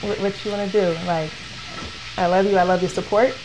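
A young woman from Florida talks casually as a guest in an interview. Her voice is middling in pitch, with informal, slangy wording. Clear sounds of food frying in a home kitchen run behind her. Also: steady tone, brisk, background noise. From born in Liberia, raised in Florida, United States. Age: young adult. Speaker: guest, head of operations of a food business. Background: frying and sizzling food.

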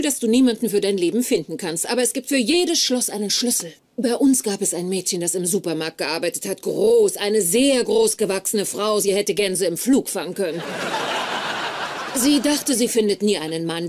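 A woman's voice: medium pitch, a little mellow, close to an everyday voice. Actress as a folksy, blunt sitcom mother, noisy soundtrack. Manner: folksy, matter-of-fact. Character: motherly, pious, blunt middle-aged woman. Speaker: actor in a dubbed sitcom. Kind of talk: sitcom dialogue, German dub.

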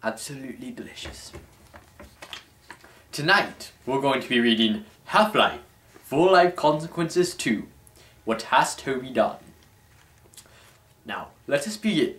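A young man hosts a mock-dramatic reading with formal, pompous flair. His medium-pitched voice moves in lively, wide swings. The recording is echoey, picked up from a short distance in a small room. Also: a little slow, long pauses. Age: young adult. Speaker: host and reader. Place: small dim room at home. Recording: roomy, distant camera microphone.

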